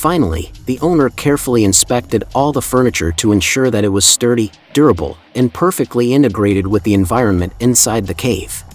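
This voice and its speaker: A man's voice: medium pitch, animated, a little fast, hardly any pauses. A man reading a scripted documentary voice-over in a formal, admiring, evenly paced way. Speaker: narrator. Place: voice-over, not the pictured building site. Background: soft background music.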